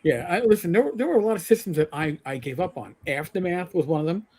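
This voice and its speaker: A man's voice: fairly high pitch, expressive, fast talker, a little mellow. A middle-aged man co-hosting a gaming livestream, rambling with stammered restarts and the odd swear. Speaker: livestream co-host. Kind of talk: casual gaming chat.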